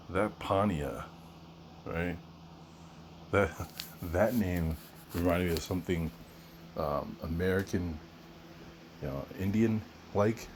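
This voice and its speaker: A man's voice: deep voice, a little hiss or hum. A man hosting, commenting casually on a text being read aloud, musing and conversational. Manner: musing, conversational, with fillers and asides.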